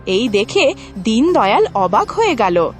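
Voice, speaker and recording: Female storybook narrator, highish and animated, music bed. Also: a little slow.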